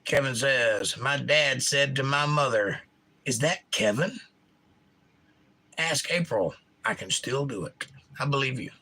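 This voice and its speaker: A middle-aged man whose Georgia accent has drifted a bit north, chatting casually with his livestream viewers. His voice sits in an ordinary middle pitch with a bright, forward edge, and he spells out every consonant with care. He sounds animated and opinionated as he reads comments aloud and answers them. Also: a little slow, pauses often. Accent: Georgia, US South. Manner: enunciates crisply, animated, opinionated. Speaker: livestream host.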